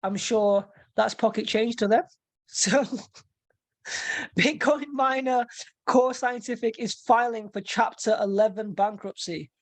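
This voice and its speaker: A woman hosting a casual webinar, reading through a quick news roundup and often repeating words while she thinks. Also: fairly high pitch, no echo. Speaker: host. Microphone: video call mic.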